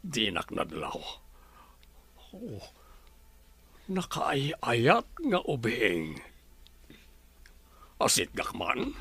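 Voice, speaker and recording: A man's voice: mid-range voice, vocal fry, fairly bright tone, slightly rough, full character voice. An actor playing a hearty older father and grandfather in an Ilocano radio drama, with an Ilocano accent. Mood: musing, pleased.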